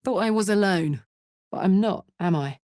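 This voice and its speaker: A woman voicing a brash, warm-hearted fantasy warrior in a video game, blunt, informal and expressive. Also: medium pitch, normal speed, no echo, clean studio sound, lightly acted. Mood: earnest. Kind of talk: video game character voice acting.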